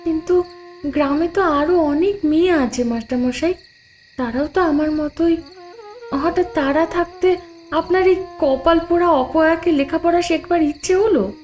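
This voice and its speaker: A woman's voice: very high voice, normal speed, darkish tone, soft background music. A woman playing a meek, sorrowful young village widow who believes herself cursed, in an audio drama. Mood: doubtful, hesitant. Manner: meek, self-deprecating, plaintive. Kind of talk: dramatised audio horror story acting.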